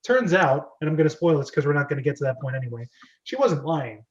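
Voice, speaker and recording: A man's voice: on the high side, very animated, quick pace, highs cut off. A man hosting and narrating an amateur script reading in a playful, chatty, self-interrupting way, with casual commentary.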